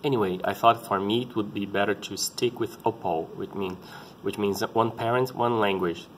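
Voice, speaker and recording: A man in his thirties with a Brazilian Portuguese accent, speaking English as a second language, telling his story in a halting and earnest way, often restarting to find words. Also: lowish voice, steady tone, a little hiss or hum. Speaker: parent sharing his experience. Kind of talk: casual personal vlog talk.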